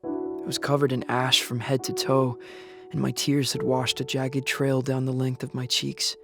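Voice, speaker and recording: A man's voice: medium pitch, monotone, moderate pace, gravelly, close to an everyday voice. An actor playing a young male survivor of an apocalypse in a first-person audio drama, earnest, shaken and grieving for his father. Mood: somber, reflective. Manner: somber, earnest storytelling with anguished outbursts. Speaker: lead actor and narrator. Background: music.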